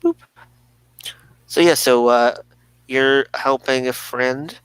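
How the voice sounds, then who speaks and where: A man's voice: mid-range voice, slow, smooth, no room sound, rough recording. A man co-hosting a talk show in casual, unscripted conversation.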